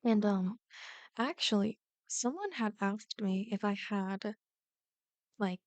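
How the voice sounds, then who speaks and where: A woman's voice: medium pitch, slow pace, a little mellow, dry recording. A woman content creator chatting casually to her viewers in a giggly, rambling way. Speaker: ASMR roleplay creator.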